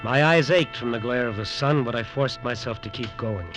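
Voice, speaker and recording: A man's voice: medium pitch, normal speed, natural voice. Man playing hard-boiled guy, greedy and desperate, telling his story, old radio thriller w/ music, midrange voice. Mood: tense, brooding. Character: desperate, greedy resort builder narrating his own downfall. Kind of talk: old-time radio suspense acting and first-person narration.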